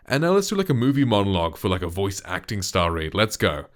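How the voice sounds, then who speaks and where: A man with a deep, dark voice, a voice actor chatting casually while reviewing a studio microphone he speaks into up close. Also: fast talker. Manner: relaxed and chatty, lots of loose filler words. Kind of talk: casual gear review with voice demos. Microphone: close studio condenser microphone.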